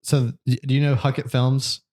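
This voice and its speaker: Rambling young podcast co-host. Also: male voice, mid-range voice, little pitch movement, normal speed, a little mellow. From Georgia, USA. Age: 20s. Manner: rambling, many fillers and restarts. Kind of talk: casual podcast chat.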